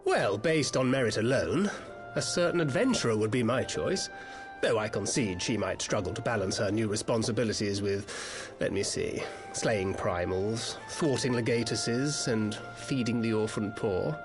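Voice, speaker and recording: A man acts a roguish, easygoing adventurer in a fantasy game. The voice is fairly low, dry and teasing, with clear background music under it. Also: animated, moderate pace, natural voice. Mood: wry, teasing. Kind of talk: video game voice acting.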